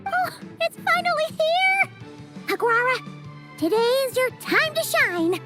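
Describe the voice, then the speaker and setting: A woman voicing a small, childlike fairy companion who is bubbly, excitable and squeaky, in an anime-style video game. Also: measured pace, heavily acted. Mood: eager, cheering. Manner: exclamatory, bubbly, speaks of self in third person. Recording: music behind the voice. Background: loud game music.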